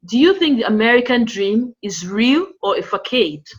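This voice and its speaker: A woman's voice: a little high, sing-song, normal speed, husky, dull audio. A woman hosting a channel, asking interview questions in a friendly, chatty way.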